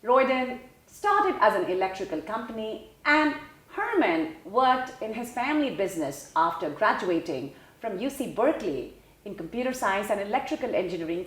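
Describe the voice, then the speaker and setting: A woman hosts an interview show with a polished presenter's delivery. Her voice rises and falls with lively melody, and the room around her echoes noticeably. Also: mid-range voice. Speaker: show host. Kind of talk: scripted introduction and interviewing.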